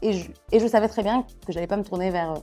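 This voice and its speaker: A woman from Niger speaking as a journalist in a conversational interview, telling personal stories with halting self-corrections. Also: medium pitch, dark tone, soft background music.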